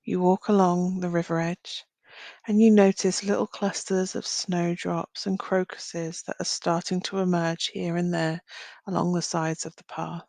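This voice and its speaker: A woman leading a guided visualisation as a meditation guide, speaking gently in short phrases with long pauses. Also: lowish voice, flat delivery, no room sound. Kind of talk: guided visualisation, slow soothing narration.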